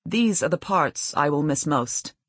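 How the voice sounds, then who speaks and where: Woman as a tender middle-aged male lover, deliberate, husky, dry audiobook sound. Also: low-pitched, animated, a bit bright, no echo, exaggerated voice.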